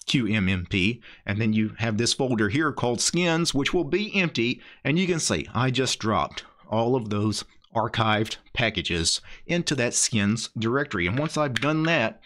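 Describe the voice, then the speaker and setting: Low-voiced middle-aged man, casual walkthrough. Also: fairly bright tone. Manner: conversational, rambling think-aloud. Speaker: tech video host. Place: small indoor home studio.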